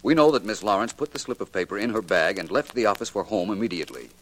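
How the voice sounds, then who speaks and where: Suave radio detective, deep voice, urbane measured n precise, 1940s mystery show. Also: male voice, expressive, a bit bright, slight background noise. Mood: deliberate, puzzled. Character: suave, unflappable gentleman detective.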